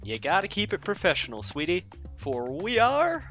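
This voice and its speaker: A woman's voice: lowish voice, wildly swooping pitch, normal speed, a bit bright, heavily acted. A woman voicing a brash, folksy young country pony with a Southern US accent in a parody cartoon, with music behind her. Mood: eager. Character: brash young country filly, bossy would-be criminal.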